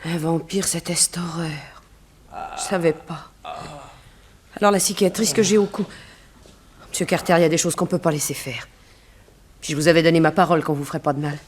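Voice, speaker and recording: A woman's voice: low voice, fairly even intonation, brisk, pauses often, natural voice. An actress playing an earnest, direct female gang accomplice in a 1960s French radio serial. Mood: grave, frank. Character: loyal, plain-spoken female gang accomplice. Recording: noisy old radio recording.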